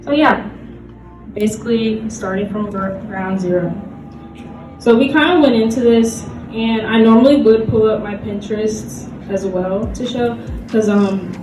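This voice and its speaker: A woman's voice: a little high, fairly even intonation, measured pace. A woman giving an informal panel presentation in a conversational, somewhat hesitant way, peppered with "like". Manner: hesitant, conversational, frequent "like" as filler. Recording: echoey room from far off, music behind.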